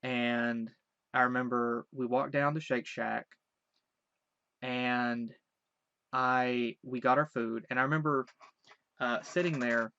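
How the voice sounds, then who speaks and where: Man, light Southern accent, mid pitch, rambling and hesitant recollection, dry dull lo-fi recording. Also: fairly even intonation, slow, long pauses, bright, forceful tone, clear, resonant tone, dry recording. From Virginia. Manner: rambling, hesitant, many restarts. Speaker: vlogger. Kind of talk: casual recollection.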